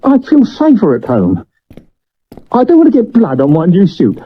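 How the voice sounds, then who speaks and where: A man's voice: high-pitched, huge pitch swings, normal speed, breathy edge, full character voice. A man acting a nervous, loyal Victorian theatre dresser in a 1970s radio drama, anxious and pleading, with an English accent. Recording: dull lo-fi vintage radio recording.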